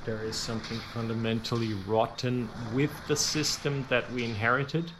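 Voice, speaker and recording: A man speaking in a deliberate, thoughtful way, as an author reflecting in an interview. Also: little pitch movement. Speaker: author and columnist, former forum manager. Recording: loud ambient noise, traffic-like rumble.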